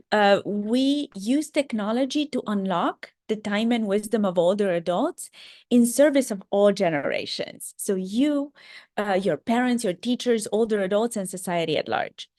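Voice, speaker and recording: A woman's voice: fairly high pitch, darkish tone, no echo, studio quality. Earnest Romanian-accented woman founder. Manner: earnest, with many ums and restarts. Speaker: startup founder and CEO. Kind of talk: career talk to students.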